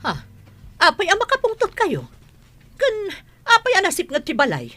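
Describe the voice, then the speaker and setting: A woman's voice: very high voice, huge pitch swings, moderate pace, bright, forceful tone, dry recording, exaggerated voice. An actress playing a careless, defensive wife and mother in a radio drama, flippant in manner. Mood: startled.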